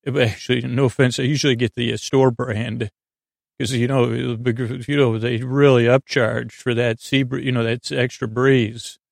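A man hosting a bedtime podcast in a meandering, halting way, with improvised digressions and words that trail off unfinished. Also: mid-range voice, flat delivery, creaky, no echo, clean studio sound. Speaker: podcast host. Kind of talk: rambling improvised bedtime monologue.

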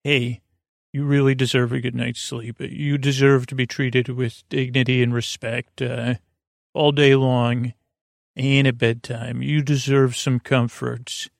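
A man with a creaky voice hosting a sleep podcast, rambling in a soothing, halting way and stammering over repeated words. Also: mid-range voice, flat delivery, no room sound, clean studio sound. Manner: soothing, lulling. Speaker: podcast host. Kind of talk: rambling bedtime monologue to lull listeners to sleep.

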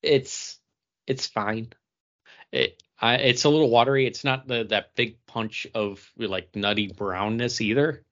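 A man's voice: medium pitch, fairly even intonation, a little slow, a bit bright. A man co-hosting a podcast, talking in a casual, conversational style. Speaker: podcast co-host. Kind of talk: casual chat. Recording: dull lo-fi audio.

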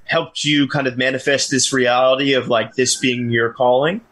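A man's voice: medium pitch, level tone, moderate pace, a bit bright. A man in his thirties hosting a casual interview, asking loose, friendly questions. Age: 30s. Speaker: interviewer, host.

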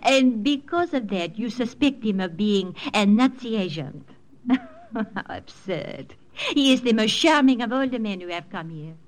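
An actress playing a cool, soft-spoken woman who mocks her husband, in an old radio drama. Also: on the high side, lively intonation, measured pace. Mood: mocking. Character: French woman, cool and teasing.

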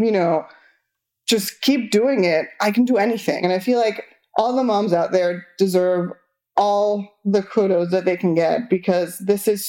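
A young woman from Argentina, with Spanish as her first language, speaks openly in a casual interview. She pads her sentences with "like" and her voice jumps higher when she gets exasperated. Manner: candid, many "like" fillers, lively rises when exasperated. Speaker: interview guest.

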